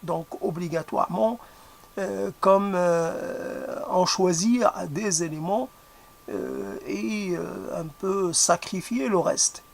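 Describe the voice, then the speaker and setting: A speaker whose first language is not French, musing aloud in a hesitant way, often pausing and restarting to search for the right word. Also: mid-range voice, vocal fry. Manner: hesitant, many fillers and restarts, searching for words. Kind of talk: reflective spoken musing.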